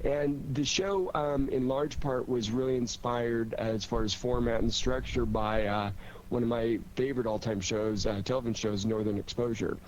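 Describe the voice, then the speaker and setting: A man's voice: medium pitch, moderate pace, dull audio. A man talking casually and enthusiastically as a radio theater director on a panel. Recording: clear steady background noise.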